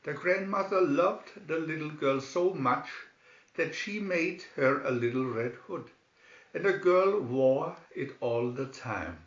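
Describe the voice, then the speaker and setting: A man's voice: on the high side, slow pace. A man narrating a scripted fairy tale expressively as a storybook reader. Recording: roomy, slightly dull recording.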